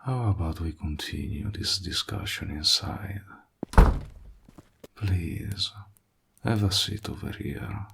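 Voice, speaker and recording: Casting: male actor as a silky warlock host for intimate audio roleplay. Deep, extremely unhurried, soft-spoken, gracious and flirty with quiet menace. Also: full character voice. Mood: welcoming, courteous. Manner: soft-spoken, breathy, slow and deliberate, flattering. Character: suave, courteous male warlock, hospitable, flirtatious, slyly menacing.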